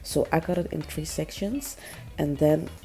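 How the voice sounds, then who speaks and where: A woman with a very low voice, made deeper still and gravelly by a cold. She talks through a hair-care how-to in a loose, chatty way, full of ums and likes, and the tone is dark and mellow. Faint music plays underneath. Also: unhurried. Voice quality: naturally low voice. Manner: chatty, many ums and likes, small laughs. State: sick, voice even lower than usual. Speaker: natural hair vlogger. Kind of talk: casual how-to vlog.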